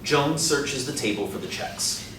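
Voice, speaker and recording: A man's voice: mid-range voice, lively intonation, a little slow. Man reading stage directions, echoey room. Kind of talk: scripted narration. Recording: echoey room, distant mic, faint noise.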